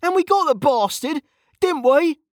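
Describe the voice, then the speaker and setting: A narrator voicing a hot-headed young male fire mage in a fantasy audiobook, indignant and protesting, pitched far up. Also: measured pace, sharp tone, dry recording, studio quality. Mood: defensive.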